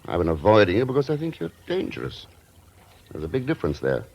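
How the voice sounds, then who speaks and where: Male, suave spy lead, low and laconic, dry wit, old-time radio drama on a dull recording. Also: wildly swooping pitch, fast, creaky, heavily acted. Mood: wry, flirtatious. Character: cool, wry, suave male secret agent. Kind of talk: 1940s-50s American radio acting.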